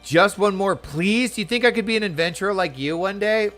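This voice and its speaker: Small eager kid in a fantasy game, done by a man: raised pitch, curious, excitable, music beneath. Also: a little fast. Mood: pleading. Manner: pleading, excitable. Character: eager, curious little child admiring an adventurer.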